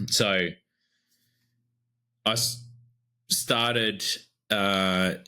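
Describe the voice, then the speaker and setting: An Australian man with a low-pitched voice co-hosts a podcast in easygoing chat. His tone is bright and forward, and he rambles a bit with lots of fillers as he talks. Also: very slow, clean tone. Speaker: podcast co-host.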